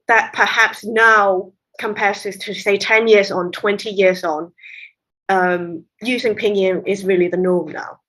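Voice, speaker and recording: A woman translator whose first language is Cantonese, explaining her work at length in a relaxed interview, with light laughs. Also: mid-range voice, rough recording. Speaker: literary translator, guest. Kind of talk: conversational interview.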